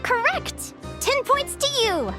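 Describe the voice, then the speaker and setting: A cheerful young female advisor in a video game, teaches playfully. Her voice is very high and animated, with clear music behind it. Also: slow, heavily acted. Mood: cheerful, instructive.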